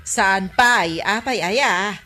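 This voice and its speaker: An actress with an Ilocano accent playing an expressive village woman in a comedy radio drama. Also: mid-range voice, slow pace, soft background music. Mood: shocked.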